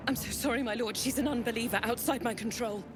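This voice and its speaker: A woman's voice: fairly high pitch, fast talker, over music, natural voice. An actress playing a stern, authoritative female cult commander in a fantasy game, formal and clipped. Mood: deferential. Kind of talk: dramatic fantasy game acting.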